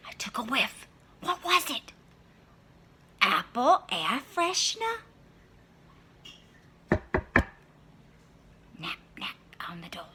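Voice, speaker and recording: A woman's voice: on the high side, huge pitch swings, unhurried, bright, forceful tone, a little hiss or hum, voice pushed up, heavily acted. A woman voicing a hungry, sly male wolf who tells his own storybook tale in a dramatic, scheming, wry way. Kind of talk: storybook read-aloud in character.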